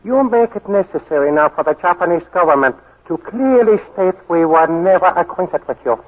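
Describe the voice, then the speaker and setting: Muffled vintage radio: icy military colonel, formal, precise, slightly raised pitch. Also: male voice, animated, moderate pace. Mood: cold, formal. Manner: precise, formal, stiffly polite. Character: cold, formal, menacing male Japanese military colonel. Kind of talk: 1940s radio drama acting. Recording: muffled, worn 1940s radio recording.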